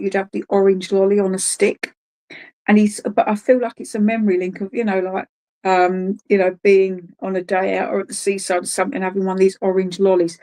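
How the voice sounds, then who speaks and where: A British woman works as a medium in an online spiritualist service, with a mid-pitched voice. She talks conversationally and hesitantly, searching for words as she describes what she senses. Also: warm tone, low-quality recording. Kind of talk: informal clairvoyant message at an online service.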